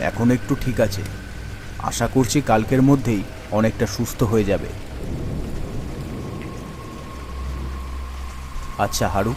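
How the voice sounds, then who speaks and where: A man's voice: on the low side, background noise, close to an everyday voice. A man playing a kindly, reassuring village schoolmaster in a cartoon story. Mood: concerned, probing. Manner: reassuring, fatherly, concerned. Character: kindly village schoolmaster who doubles as doctor. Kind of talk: Bengali cartoon story acting.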